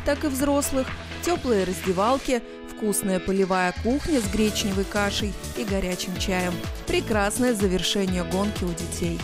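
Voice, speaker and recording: A woman reading a scripted local news voice-over in an even, clear way. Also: on the high side. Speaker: news reporter.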